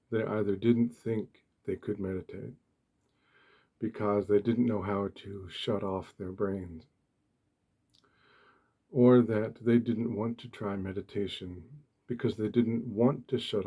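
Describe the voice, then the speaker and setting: Slow, soft-spoken man with husky low voice. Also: fairly even intonation. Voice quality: soft, hushed, breathy. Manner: gentle, deliberate, short phrases with pauses. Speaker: ASMR host. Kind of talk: soft-spoken ASMR meditation talk.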